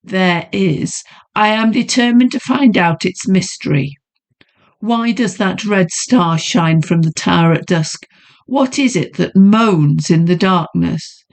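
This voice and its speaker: A woman voicing an earnest young gentleman suitor who speaks firmly and insistently. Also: on the low side, slow pace.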